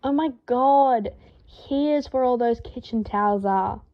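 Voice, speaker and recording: A woman putting on a laid-back young man who pleads and laughs his way through a mess. The voice sits on the higher side. The recording is dull and lo-fi. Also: takes it slowly. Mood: amused.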